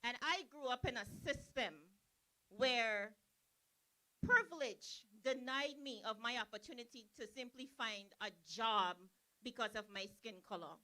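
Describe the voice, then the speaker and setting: A young woman from Guyana, giving a measured, earnest speech as a poet at an outdoor rally. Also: a little high, sharp tone, poor audio. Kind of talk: reflective speech.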